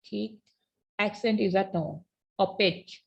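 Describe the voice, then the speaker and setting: Woman teaching a class online, bit of vocal fry, dull low-quality sound. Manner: explains in a mix of Hindi and English, reads slide definitions slowly.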